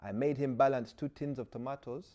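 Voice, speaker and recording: A man doing a Ugandan accent to voice a Nigerian stepfather's letter, read slowly and with emphasis. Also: medium pitch, fairly even intonation, a little mellow, voice pushed up, natural voice. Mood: aggrieved. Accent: Ugandan, put on. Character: exasperated Nigerian stepfather writing in for advice.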